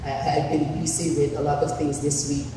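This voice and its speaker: A man preaching a sermon as pastor, with a husky, hoarse voice, deliberately laying out numbered points and sometimes restarting a phrase. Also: a little high, little pitch movement. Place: large church hall. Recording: echoey church hall from far off, faint noise.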